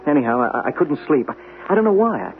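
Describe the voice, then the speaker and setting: An actor playing a fast-talking male agent who stammers through a guilty confession, in a vintage radio drama. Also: fairly high pitch, sing-song, gravelly, music in the background. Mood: confessional. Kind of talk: 1970s radio drama acting. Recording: tinny old radio broadcast.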